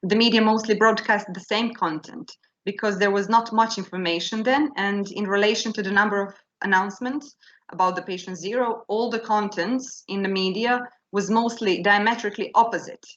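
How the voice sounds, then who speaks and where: A woman giving an academic conference talk, in the language, speaking haltingly with many fillers and restarts. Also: medium pitch, a bit bright, lo-fi sound. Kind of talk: academic presentation. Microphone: video call.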